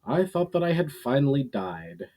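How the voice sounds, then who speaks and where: A man who is an author reading his own fantasy writing aloud in a storytelling style, a little halting in delivery. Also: medium pitch, very animated, warm tone. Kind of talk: casual intro, then dramatic storytelling read aloud.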